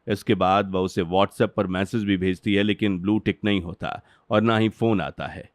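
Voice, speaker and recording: A man's voice: on the low side, steady tone, moderate pace, dry recording, studio quality. A man narrating a dramatic cartoon story in a scripted storytelling style. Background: background music.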